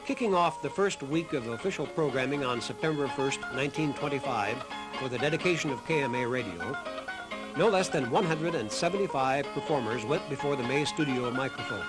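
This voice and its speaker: A man's voice: medium pitch, lively intonation, fairly quick, loud music behind the voice, poor audio. A man reading scripted documentary narration as the narrator of a history program.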